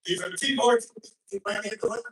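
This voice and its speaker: A man's voice: extremely high pitch, brisk, vocal fry, a bit bright, husky, low-quality recording. A man from the audience making an impassioned public comment, pressing his points with repeated "okay?". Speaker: audience member asking a question.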